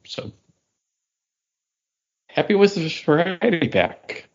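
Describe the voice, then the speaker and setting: A man co-hosting a podcast, chatting in a relaxed, easygoing way. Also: on the high side, slow. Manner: relaxed, easygoing, some restarts. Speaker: podcast co-host. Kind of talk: casual chat. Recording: dull lo-fi sound.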